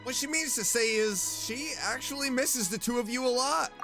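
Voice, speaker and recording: A woman's voice: a little high, normal speed, over music. A woman streamer giving casual reaction commentary while playing a game.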